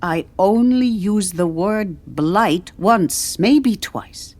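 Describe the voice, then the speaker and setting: A woman voicing an aged, cheerful, mischievous historian with authority, her voice a little cracked and weary, telling her tale in measured, oratorical phrases. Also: mid-range voice, animated, a little mellow. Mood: wry, weary.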